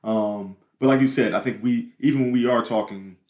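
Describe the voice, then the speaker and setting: A young man from New Orleans with a New Orleans accent, co-hosting a podcast in a halting, filler-heavy casual chat. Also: mid-range voice, darkish tone. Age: young adult. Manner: halting, self-interrupting, many fillers. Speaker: podcast co-host. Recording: roomy echo.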